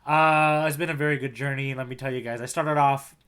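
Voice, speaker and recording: A man's voice: medium pitch, steady tone, a little fast, fairly bright tone, clear, resonant tone. A man co-hosting a podcast in casual comedic chat, joking with mock authority and repeating phrases for effect. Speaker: podcast co-host playing the tour guide.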